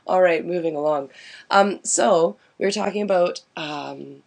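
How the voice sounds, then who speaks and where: Gravelly-voiced woman hosting a radio chat. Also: measured pace. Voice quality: deep smoky voice. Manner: bantering, laughing easily. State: deep raspy voice after quitting smoking. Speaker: radio show host. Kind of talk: casual live radio chat.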